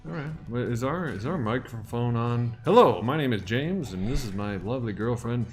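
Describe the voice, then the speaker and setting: A man hosting a casual recovery live stream from home, greeting viewers by name and trading deadpan jokes. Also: mid-range voice, moderate pace, faint music behind.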